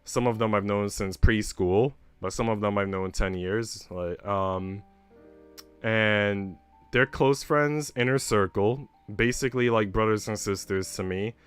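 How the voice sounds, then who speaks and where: Man, low smooth voice, livestream host, chatty and candid, casual chat, music in the background. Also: unhurried, fairly bright tone.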